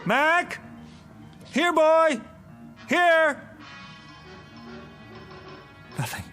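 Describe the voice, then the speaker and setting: A man's voice: very high voice, sing-song, very slow, heavily acted. An actor playing a desperate, determined father searching for his young daughter in a radio drama, calling out urgently. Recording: long cavernous reverb, loud music underneath.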